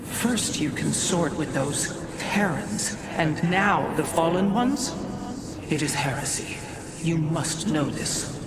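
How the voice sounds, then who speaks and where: A woman's voice: sits low, lively intonation, slow, very noisy. A woman playing a proud, ancient alien keeper of history in a game, with formal, solemn, archaic phrasing. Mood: stern, indignant. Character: proud, ancient female alien preserver of history, stern and devout. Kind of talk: scripted game cutscene dialogue.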